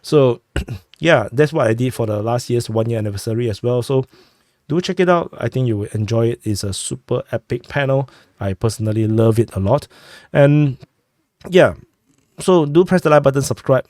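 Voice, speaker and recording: A man who is sick, hosting a war update and walking through a map, talking haltingly with many fillers and restarts on a close mic. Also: mid-range voice, lively intonation, darkish tone, dry recording. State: sick, clearing throat. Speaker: war news host.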